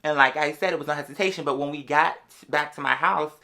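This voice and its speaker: Casting: storytime vlogger, bright forceful mid-pitched voice, casual, digressive, sassy slang. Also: fast, vocal fry. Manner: rambling, digressive, sassy slang, calls everyone "girl". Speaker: vlogger telling a story to followers.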